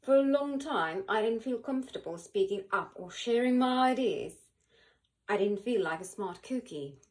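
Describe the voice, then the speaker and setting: A woman reading a children's picture book aloud in an animated, expressive way, with dramatic pauses and emphasis. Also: a little high. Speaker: volunteer children's book reader.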